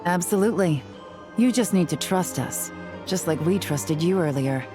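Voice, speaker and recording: A woman's voice: lowish voice, lively intonation, normal speed, over music, lightly acted. A woman playing a cool, confident female operative in a video game, with a composed, assured manner.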